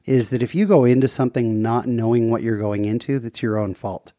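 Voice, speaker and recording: A man hosting a casual interview podcast, enthusiastic and quick to react to his guest. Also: medium pitch, warm tone, no echo, studio quality. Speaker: podcast host.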